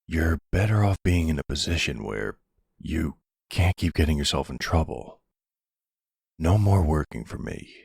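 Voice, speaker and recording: A man playing an older, calm and commanding mafia boss with a low husky voice, speaking deliberately in an intimate roleplay audio drama. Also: dry recording, studio quality, heavily acted. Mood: calm, sincere. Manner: measured, deliberate, authoritative. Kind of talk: ASMR boyfriend roleplay acting.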